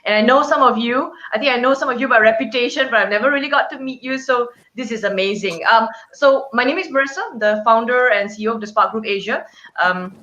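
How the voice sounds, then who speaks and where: A woman's voice: fairly high pitch, fast talker, bright, forceful tone. A woman introducing herself on a video call, hesitant with many fillers. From Malaysia. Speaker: founder and CEO guest. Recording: echoey, dull video call audio.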